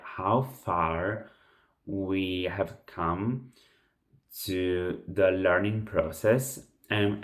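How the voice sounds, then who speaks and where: A man who is a native Italian speaker with an Italian accent, giving unscripted advice to viewers, halting and often restarting or repeating words. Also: on the low side, fairly bright tone. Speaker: language-learning video host.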